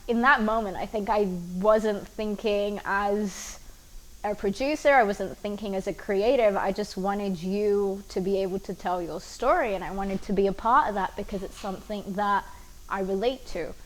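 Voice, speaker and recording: A woman's voice: medium pitch, steady tone, moderate pace, low-quality recording. Female producer, interview; earnest and reflective, mid-range voice. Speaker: film producer. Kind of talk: casual interview talk.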